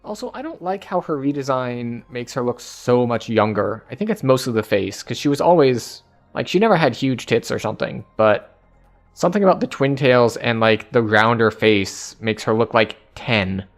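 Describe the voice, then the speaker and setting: Man, livestreamer, casual game commentary, offhand jokes, middle pitch, faint music. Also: expressive, moderate pace. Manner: offhand, joking asides. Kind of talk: casual commentary over gameplay.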